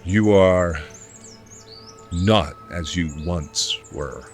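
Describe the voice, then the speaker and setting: A male actor plays a stern medieval friar in a radio-style drama. He speaks slowly in a low voice, terse and formal, with music clearly behind him. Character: stern, impatient medieval friar, James, practical and blunt. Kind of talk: dramatic audio drama acting.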